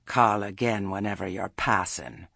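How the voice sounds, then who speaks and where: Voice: low voice, unhurried, vocal fry, pushed and bright, no room sound, full character voice. Narrator putting on a grumpy old witch woman, curt n sardonic, bossy country type, storybook, very dry close sound. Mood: curt, imperious. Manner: terse, sardonic, grudging.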